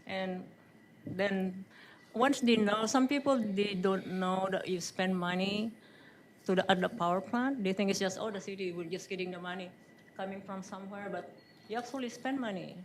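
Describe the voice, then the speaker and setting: A woman's voice: mid-range voice, moderate pace, slight background noise, low-quality recording. A woman from the Philippines answering interview questions in a public meeting room, chatty, with frequent ums and laughs. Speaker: job candidate.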